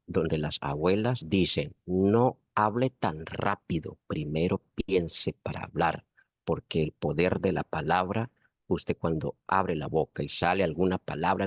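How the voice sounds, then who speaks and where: A Colombian man speaking in a class discussion, his voice very deep and husky, with a dry, close sound that has no room echo. He talks in a measured, earnest way and comes back to his points to stress them. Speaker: participant in a university class. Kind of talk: spoken comment in an online class discussion.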